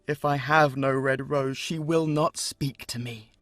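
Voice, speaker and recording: A man's voice: mid-range voice, fairly even intonation, measured pace, a bit bright, faint music behind, pitched above the performer's own voice, lightly acted. A man voicing a lovesick young student who laments earnestly and pities himself in a storybook tale. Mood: yearning. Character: lovesick, self-pitying young male student.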